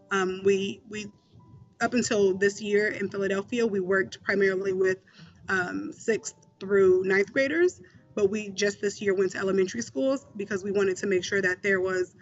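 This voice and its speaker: High-pitched male school mentor, conversational with fillers, slightly dull recording, faint music beneath. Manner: conversational, many fillers and restarts. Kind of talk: interview answers.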